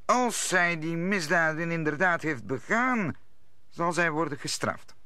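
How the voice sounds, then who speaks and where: An actor playing an authoritative cardinal in a radio play, formal and deliberate. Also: male voice, a little high, animated, pushed and bright, no room sound. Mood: wary. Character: authoritative, measured cardinal in power. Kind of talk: Dutch radio play acting.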